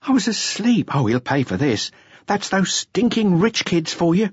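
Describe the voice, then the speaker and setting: A brash young dancer woman, high-pitched, shrill and indignant, her voice leaping far above the reader's own, as a man puts her on. The recording sounds dull and dry, with a slightly husky edge. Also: big pitch swings, normal speed, dry recording, exaggerated voice. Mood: outraged.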